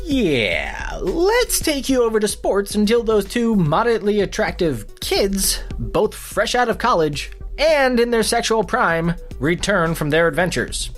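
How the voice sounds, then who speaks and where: A man's voice: high-pitched, big pitch swings, a little slow, faint music behind. A man playing a snide, self-important news anchor in a comedy newscast, pompous and sarcastic. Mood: smirking, innuendo.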